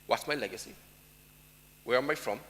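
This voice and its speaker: An Irish man speaks from the stage of a large conference hall, his bright, forceful voice trailing a long reverb. He drives his points home with emphasis and keeps putting questions to the room. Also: medium pitch, moderate pace, a little hiss or hum. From Ireland. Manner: emphatic, rhetorical questions to the audience. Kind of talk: conference talk.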